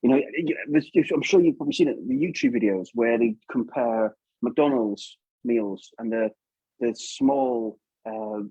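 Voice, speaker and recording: A man's voice: mid-range voice, measured pace, gravelly, mellow, slightly rough, no room sound, dull audio. A man with an English accent chatting casually as an interview guest, informal and often tagging questions onto his remarks. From England. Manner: informal, chatty, seeks agreement with tag questions. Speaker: guest puppeteer.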